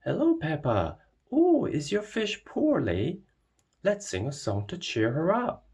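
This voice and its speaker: A man's voice: on the high side, huge pitch swings, a little slow, a little mellow, breathy edge, voice pushed up, full character voice. A man voicing a sympathetic, gushing lady gazelle in a children's storybook. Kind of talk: children's storybook read-aloud.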